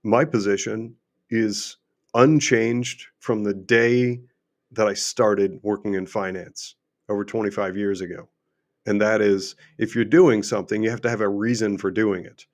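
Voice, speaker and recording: Man, middle-aged, lowish voice, insistent and repetitive, going hoarse. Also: fairly even intonation, a little slow. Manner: emphatic, repetitive, rhetorical questions. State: losing his voice. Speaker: host and finance adviser. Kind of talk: unscripted livestream monologue.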